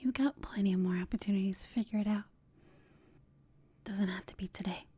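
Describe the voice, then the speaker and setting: Casting: actress as teasing female villain, ASMR roleplay. Very breathy whisper, soft mellow tone, coaxing and confident, close and dry. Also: mid-range voice, a little fast. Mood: flirty, confident. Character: flirtatious, teasing female villain, confident yet secretly inexperienced. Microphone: close mic, dry.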